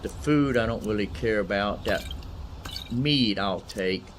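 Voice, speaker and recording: Male gaming streamer, lowish voice, folksy unhurried play-by-play, loud game ambience behind him. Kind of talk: casual gameplay commentary. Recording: loud background noise under commentary. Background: game ambience and dialogue.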